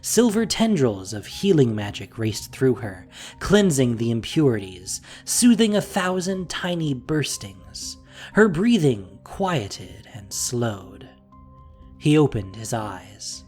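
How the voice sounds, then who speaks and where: A narrator with a measured, dramatic storytelling manner, reading a scripted audio drama. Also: mid-range voice, big pitch swings, a little mellow, soft background music. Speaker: podcast narrator and producer.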